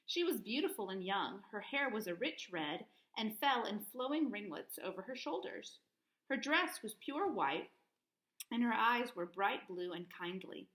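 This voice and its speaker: Animated woman reading a storybook aloud. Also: mid-range voice, low-quality recording. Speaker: teacher reading aloud to children. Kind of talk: expressive storybook read-aloud narration.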